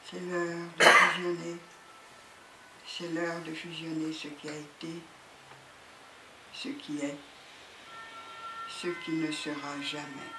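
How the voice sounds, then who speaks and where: A poet reciting solemnly and deliberately at a literary event, pausing between phrases. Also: mid-range voice, completely flat delivery. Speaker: poet reading at a book event. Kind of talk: slow, solemn poetry recitation. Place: indoor literary event room. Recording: echoey room with background noise.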